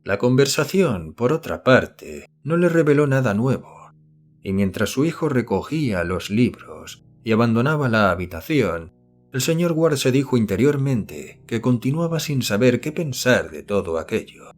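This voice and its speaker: A man's voice: medium pitch, big pitch swings, moderate pace, faint music behind. Man narrating a horror audiobook. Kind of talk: scripted narration of a horror novel.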